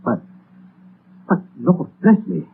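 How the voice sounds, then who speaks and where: An actor playing a polite, flustered charity gentleman in a 1930s radio drama, stammering and courteous. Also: high voice, very animated, slow, creaky, a little hiss or hum, heavily acted. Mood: astonished. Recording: narrow-band 1930s radio, faint music.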